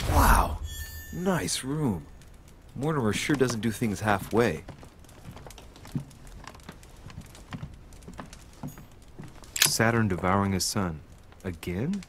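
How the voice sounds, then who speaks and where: An actor playing a pensive young gentleman investigator, musing wryly to himself in an understated way in a video game. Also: on the low side, background noise. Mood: wry, appreciative. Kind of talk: video game monologue acting.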